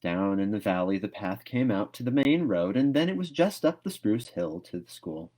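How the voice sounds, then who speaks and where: A man reading a novel aloud as expressive storybook narration. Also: lowish voice, normal speed.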